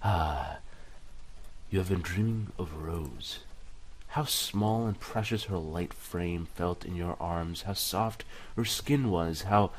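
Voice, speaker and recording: Low-voiced man doing game commentary. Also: slow pace, a little hiss or hum. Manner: casual, stumbles while reading aloud. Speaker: gaming video host. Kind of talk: let's play commentary, reading game text aloud.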